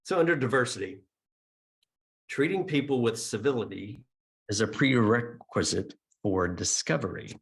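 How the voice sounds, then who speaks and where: A man's voice: mid-range voice, animated, moderate pace, vocal fry. A man giving chatty, informal opening remarks at a church service, speaking to a hall audience and online viewers on a mic that sometimes drops out. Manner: chatty and informal, with ums and uhs.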